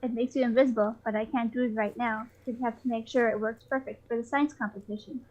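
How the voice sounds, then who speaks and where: A woman's voice: fairly high pitch, steady tone, quick pace, darkish tone, lightly acted. A woman voicing an eager, brainy young fox inventor, explaining earnestly, on a slightly dull recording.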